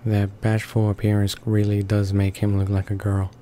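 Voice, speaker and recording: Halting, low-key man reading aloud, flat delivery. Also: slight background noise. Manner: halting reading, stumbles and repeats words. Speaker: let's play narrator. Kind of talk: read-along of a visual novel. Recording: voice-over with game music behind.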